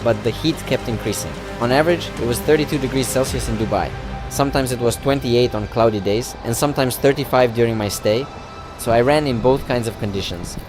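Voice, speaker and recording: A man's voice: medium pitch, fairly quick. Earnest male voice-over narrator over music. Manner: steady, earnest, recounting step by step. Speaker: runner telling his own challenge.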